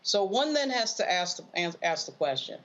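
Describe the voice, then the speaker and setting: A woman's voice: mid-range voice, big pitch swings, normal speed. Emphatic American woman, slightly muffled audio. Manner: emphatic, argumentative. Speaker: political commentator, panelist. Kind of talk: unscripted political commentary.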